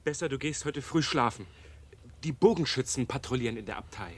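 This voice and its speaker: A man's voice: medium pitch, fairly quick, a little hiss or hum, close to an everyday voice. A man playing a wary monk in a radio drama, questioning and warning. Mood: wary, urgent.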